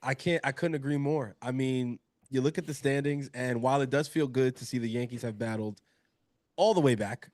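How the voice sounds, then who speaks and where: A man with a cold who sounds nasal, co-hosting a casual sports chat in a relaxed, conversational way. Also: medium pitch, brisk. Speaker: podcast co-host.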